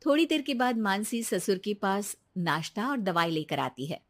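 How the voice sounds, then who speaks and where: A woman's voice: medium pitch, sing-song, moderate pace. A woman delivering scripted narration as the storyteller of a moral tale.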